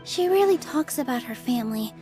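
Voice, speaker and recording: High-voiced, gentle young dancer. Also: female voice, animated, fairly quick, faint music behind. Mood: apologetic, reflective. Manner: soft-mannered, earnest, thoughtful. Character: Nilou, gentle, earnest young dancer woman. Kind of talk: anime game voice acting.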